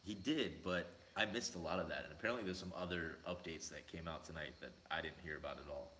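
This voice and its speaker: A man's voice: deep voice, fast, bright, forceful tone, reverberant, a little hiss or hum. A man hosting a casual crypto livestream, loose and joking, greeting chat viewers by name while talking into a laptop mic. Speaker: livestream host. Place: indoor room, laptop mic. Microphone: laptop mic, no headphones.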